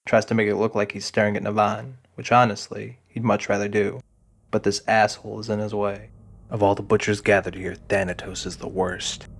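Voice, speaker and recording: A man giving a scripted, deliberate narration of a fantasy audio drama. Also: on the low side, a little hiss or hum.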